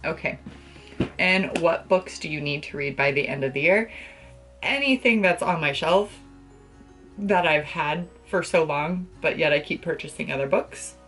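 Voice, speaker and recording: A young woman chatting casually to the camera as a book vlogger, with an expressive, gushing and emphatic way of talking. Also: low voice, unhurried, soft background music. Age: young adult. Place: small bedroom, indoors.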